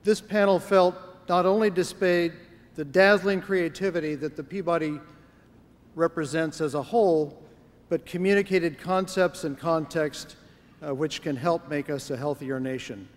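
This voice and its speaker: Formal higher-pitched man speaking in a hall. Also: moderate pace. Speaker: award presenter, foundation executive. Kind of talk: formal prepared speech. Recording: echoey large hall.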